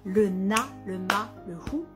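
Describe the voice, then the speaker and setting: A woman wellness author talks to camera, explaining her idea in a lively way with examples and asides. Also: medium pitch, slow, music in the background.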